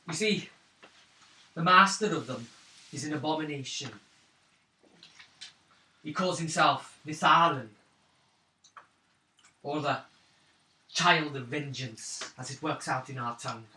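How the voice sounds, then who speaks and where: A man voicing a wounded female elf fugitive in a tabletop game, speaking in formal, solemn, measured phrases and pleading earnestly. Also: on the high side, pushed and bright, full character voice. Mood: solemn, recounting. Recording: roomy, distant mic, faint noise.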